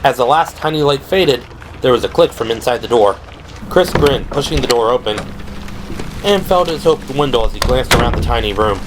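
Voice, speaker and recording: A man reading dramatic scripted narration for a horror audio drama. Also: mid-range voice. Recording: clearly noisy background. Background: ambient sound effects.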